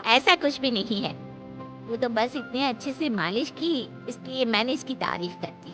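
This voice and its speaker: A woman's voice: a little high, animated, moderate pace, music in the background, close to an everyday voice. A woman voicing a fussy, grumbling older mother-in-law in an exclamatory cartoon story style. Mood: grudging. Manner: exclamatory, scolding. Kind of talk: cartoon moral-story acting.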